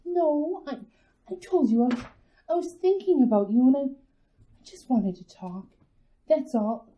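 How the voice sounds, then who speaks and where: A woman's voice: sits high, unhurried, dark tone, rough recording. A woman playing an anxious mother in a radio drama, who stammers and trails off nervously.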